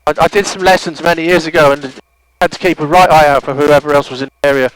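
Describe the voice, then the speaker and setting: A man's voice: a little high, fairly even intonation, quick pace, poor audio. A man with a Dublin accent, a passenger chatting easily and laughing over the headset intercom. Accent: Dublin Irish. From Ireland. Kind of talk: casual chat. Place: aircraft cockpit.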